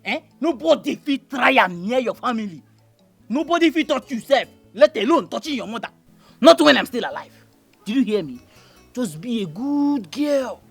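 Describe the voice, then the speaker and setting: Male actor as a husky, gravelly Nigerian Pidgin villager with wide swings in his voice. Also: very high voice, expressive, a little fast, fairly bright tone, exaggerated voice. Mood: coaxing. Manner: gravelly, husky, swings between anger and coaxing. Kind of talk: Nollywood drama acting. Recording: film audio with faint music behind.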